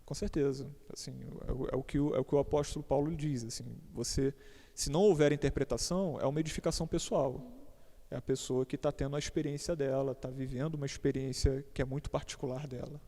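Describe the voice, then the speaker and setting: A man's voice: mid-range voice, fairly even intonation, fast talker, mellow. A Brazilian man explaining a church topic in a conversational, hesitant way, often repeating words and adding casual asides. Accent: Brazilian Portuguese. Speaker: church teacher on a panel. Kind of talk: conversational teaching. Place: church hall. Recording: long hall reverb.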